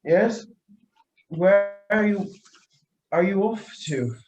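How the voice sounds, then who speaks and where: A young man playing a vain, tipsy wine connoisseur with a head cold, halting and pompous in a theatrical radio-play style. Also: high-pitched, expressive, echoey room, muffled recording, full character voice. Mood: tipsy, jovial. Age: young adult. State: sneezing and coughing with a feigned cold. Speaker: student actor.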